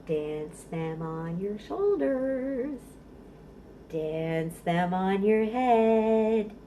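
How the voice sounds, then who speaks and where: Woman doing baby storytime, slow sing-song rhymes, encouraging, mid pitch. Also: mellow, distant mic, slight background noise. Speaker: children's librarian leading storytime. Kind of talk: instructional storytime talk and rhymes for babies and toddlers.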